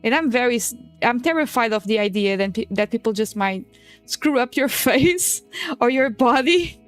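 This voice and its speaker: A woman's voice: on the high side, expressive, moderate pace, faint music behind. A woman in her twenties, a native Portuguese speaker, chatting casually on a stream while she draws, swearing and laughing often. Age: 20s. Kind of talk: casual chat while drawing.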